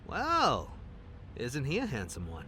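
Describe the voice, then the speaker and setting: Man playing a cocky, bright-voiced joker agent. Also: slow pace, full character voice. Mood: teasing. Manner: teasing, expressive. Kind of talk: audio drama acting. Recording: faint rumbling background noise.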